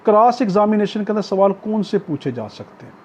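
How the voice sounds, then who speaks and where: High-pitched, husky Pakistani male lecturer. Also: animated, a little fast, darkish tone. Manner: didactic, repeats and rephrases points. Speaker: law lecturer. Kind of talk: explanatory law lecture, reading out provisions then paraphrasing. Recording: dull, muffled lo-fi recording.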